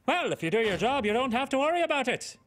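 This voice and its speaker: A man voicing a foul-mouthed, domineering high school valedictorian in a video game cutscene. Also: very animated, fast talker, fairly bright tone, faint music behind, heavily acted. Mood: menacing, irate. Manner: domineering, swearing, menacing.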